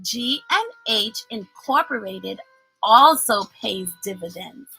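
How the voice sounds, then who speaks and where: Storybook mother, read by a woman: encouraging and doting, bright, lively, upbeat delivery to her little boy. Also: a little high, slow, vocal fry, breathy edge, faint music behind, heavily acted, low-quality recording. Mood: delighted, approving.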